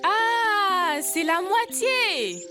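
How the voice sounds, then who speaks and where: Tiny squeaky cartoon kid girl, whiny n indignant, loud music behind. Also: very animated, very slow, exaggerated voice. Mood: eager. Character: small squabbling young girl character, indignant, Kibena. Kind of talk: French-language educational cartoon dub.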